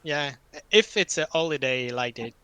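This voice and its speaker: A man from Quebec, a native French speaker with a Quebec French accent, chatting casually and haltingly, repeating words as he searches for phrasing. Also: on the high side, sharp tone, smooth, no room sound. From French-speaking Quebec, Canada. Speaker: study group participant. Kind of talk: casual group chat.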